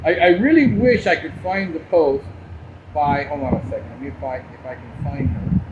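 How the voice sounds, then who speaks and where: A combative American man speaks casually and unscripted, like a live podcast host. His voice sits a little high for a man. The sound is dull and lo-fi, with heavy noise behind him. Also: moderate pace. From Cuban, grew up in New Jersey and Miami. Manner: blunt, combative. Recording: muffled, loud background noise.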